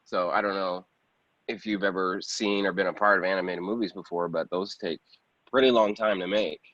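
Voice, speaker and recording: A man's voice: sits low, fairly even intonation, normal speed, a bit bright. A man giving a casual interview as a guest, talking informally about his own work. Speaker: guest, actor and film producer. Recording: roomy echo, slightly muffled sound.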